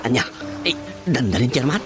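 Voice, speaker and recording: An actor with a Filipino Ilocano accent playing a chummy, teasing neighbour in a radio comedy drama, exclamatory and drawling. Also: male voice, mid-range voice, animated, moderate pace, lightly acted. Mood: teasing, impressed. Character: chummy, teasing neighbour who flatters the chairman. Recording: music behind the voice.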